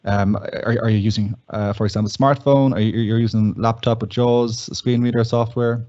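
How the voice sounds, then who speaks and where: A man's voice: lowish voice, level tone, normal speed, dark tone, muffled recording. A man hosting a conversational interview and putting questions to his guest. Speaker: interviewer and host.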